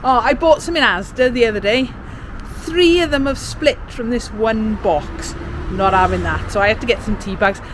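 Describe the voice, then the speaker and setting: A woman's voice: on the high side, moderate pace, noisy background. A woman, chatting casually and colloquially as she narrates her day for a vlog. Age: 50s. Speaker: vlogger.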